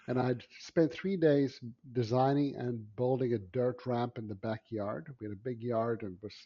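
Husky man, lo-fi line. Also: mid-range voice. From South Africa. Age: 40s. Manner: animated storyteller with many fillers. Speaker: guest. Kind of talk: interview storytelling. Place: Brooklyn.

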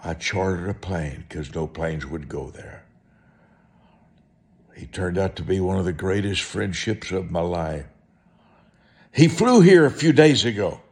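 An American man preaching a motivational sermon and telling stories in a deliberate, emphatic way, repeating short phrases. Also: low voice, a little mellow.

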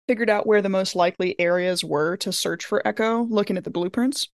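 A woman's voice: medium pitch, brisk, dry recording, studio quality. A woman playing a tabletop role-playing game, chatting casually and agreeably with the others at the table.

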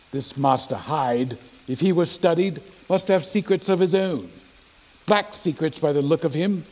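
An actor playing a worried, loyal Victorian gentleman lawyer with a formal, earnest delivery in an audio drama. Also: high voice.

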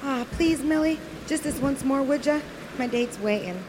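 A woman's voice: high voice, normal speed, background noise, natural voice. A woman playing an eager young waitress in an old-time radio play, wheedling her coworker. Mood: pleading. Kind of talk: 1940s radio play acting.

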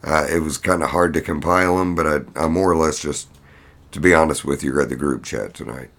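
A man's voice: a bass voice, brisk, fairly bright tone. A man hosting a casual podcast, talking in a dry, rambling, profane way. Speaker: podcast host. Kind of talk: casual solo podcast chat, voicing absent co-hosts.

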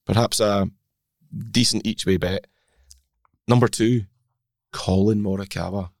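A man with a slightly croaky voice, co-hosting a golf chat and reeling off stats in a measured way. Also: lowish voice, steady tone, darkish tone, no room sound, clean studio sound. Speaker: podcast co-host.

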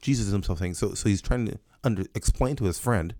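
Male co-host, very low voice, earnest and halting, dry mic. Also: darkish tone, no echo. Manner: earnest, halting, repeats words. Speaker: podcast co-host. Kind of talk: casual Bible study discussion.

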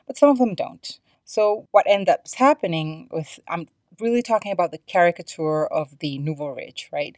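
A woman's voice: deep voice, a little mellow, dry recording, studio quality. A woman hosting a podcast solo, talking in a conversational, explanatory and opinionated way. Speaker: podcast host.

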